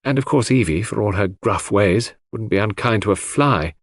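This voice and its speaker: A man voicing a gentle young woman who speaks in a hesitant, plaintive, confiding way in an audiobook. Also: lowish voice, animated, normal speed, no echo, studio quality. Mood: gloomy, plaintive.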